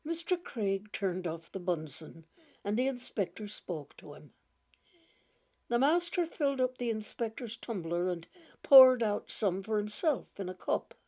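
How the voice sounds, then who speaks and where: A woman reading a short story aloud in a gentle, halting way, repeating words and laughing softly as she goes. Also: mid-range voice, big pitch swings. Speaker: audiobook reader.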